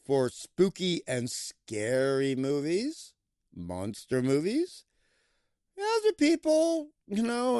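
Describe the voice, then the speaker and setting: A man giving a casual, playful film review, with lively emphasis that he stretches out for effect. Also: on the high side, no echo, studio quality. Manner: playful, animated, drawn-out emphasis. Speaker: movie reviewer.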